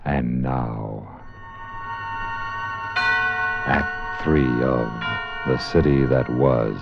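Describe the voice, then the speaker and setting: A man's voice: extremely low pitch, fairly even intonation, very slow, vocal fry. A man giving a dramatic 1950s radio show introduction as announcer. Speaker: program announcer. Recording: dull 1950s radio recording, loud music.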